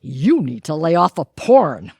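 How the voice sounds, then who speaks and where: A woman's voice: sits low, very animated, unhurried, a little mellow, husky, no echo, studio quality, heavily acted. A woman playing a nosy, gossipy neighbour wife in an audio comedy, insistent and conspiratorial. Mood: suspicious. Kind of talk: audio theatre comedy acting.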